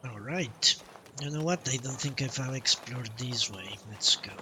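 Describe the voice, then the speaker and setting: A man's voice: mid-range voice, unhurried, background noise. A man doing casual live gameplay commentary as a streamer, musing and thinking aloud in short phrases. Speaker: game streamer. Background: game music.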